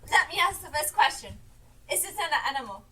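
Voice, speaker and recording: A woman's voice: extremely high pitch, sing-song, a little fast, sharp tone, full character voice. A woman playing an outspoken, merry young lady at a Christmas party in a live amateur stage play. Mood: eager. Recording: live stage, distant mic, faint noise.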